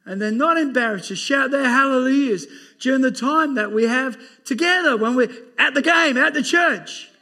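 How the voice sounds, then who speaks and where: A man with an Australian accent and a loud, booming voice, preaching an emphatic sermon to a church congregation. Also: normal speed, hardly any pauses. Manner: emphatic, laughing, exclaiming amen. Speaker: pastor.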